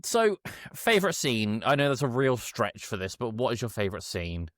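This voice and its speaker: A man co-hosting a podcast, giving a casual comedic film recap in a halting, irreverent way with mock-quoted dialogue. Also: medium pitch, big pitch swings, fairly bright tone, dry recording, studio quality. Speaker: podcast co-host.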